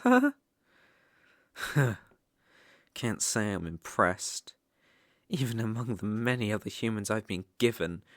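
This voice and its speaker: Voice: mid-range voice, fairly bright tone, dry recording, studio quality, heavily acted. A British-accented performer playing a sadistic, haughty demon in an ASMR roleplay, with a mocking, condescending, theatrical delivery. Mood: disdainful, curious. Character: sadistic, haughty demon lord sneering at human sacrifices.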